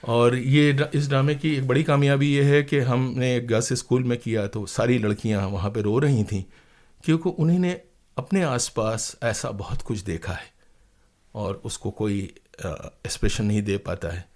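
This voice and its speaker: A man talks as a guest in an interview. His voice sits at a medium pitch. He recounts things conversationally, with emphasis and a sense of drama. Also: moderate pace, darkish tone.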